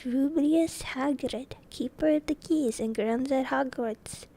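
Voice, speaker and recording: Woman putting on a loud, slow giant. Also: sits high, heavily acted. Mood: hearty. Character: huge shaggy male giant, gruff but kindly.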